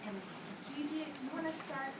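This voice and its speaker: Casual: woman music teacher, high voice, asks the kids lots of questions, recorded from way across a boomy room. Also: animated, brisk. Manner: questioning, coaxing children to answer. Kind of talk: classroom teaching. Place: school classroom. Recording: echoey classroom from far off with loud noise.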